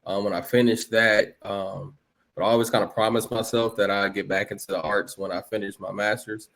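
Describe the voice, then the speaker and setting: A man's voice: on the low side, flat delivery, a little fast, fairly bright tone. A man in his late thirties from Los Angeles, a guest artist talking casually in an interview, rambling with many fillers and restarts.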